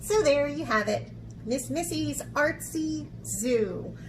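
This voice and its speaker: High-voiced woman teaching children art. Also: animated, slow, loud music behind the voice, poor audio. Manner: encouraging, chatty, frequent check-in tags like "okay?". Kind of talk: instructional video talk to children, with read-aloud.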